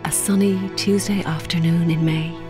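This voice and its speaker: A wistful, quietly sad woman, played by an actress, speaks in a slightly low voice with a brave front. It is audio drama, with music clearly playing behind her. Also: steady tone, normal speed, close to an everyday voice. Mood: wistful, tender. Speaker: actor. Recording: music under the voice.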